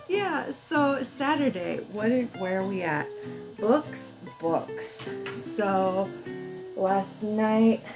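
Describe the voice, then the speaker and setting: A woman's voice: medium pitch, low-quality recording. A woman book vlogger chatting casually and informally to the camera, thinking aloud as she goes. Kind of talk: casual vlog chat to camera.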